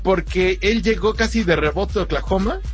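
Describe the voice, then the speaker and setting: A man hosting a sports talk radio show, chatting in an animated, playful way. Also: sits high, moderate pace, soft background music. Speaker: radio host.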